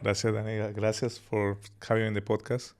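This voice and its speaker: A man in his thirties from Colombia with a Colombian accent and Spanish as his first language, telling his life story as a podcast guest in a relaxed, rambling way. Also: lowish voice, fairly quick, heavy background noise. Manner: relaxed, laughs easily, rambling anecdotes. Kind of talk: casual interview storytelling.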